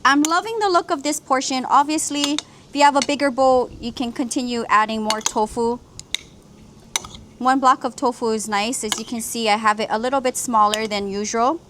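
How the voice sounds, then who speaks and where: A woman's voice: on the high side, fairly even intonation, moderate pace, background noise. Upbeat woman from Hawaii, cooking vlog. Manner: upbeat, explanatory, walks through each step. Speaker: cooking channel host.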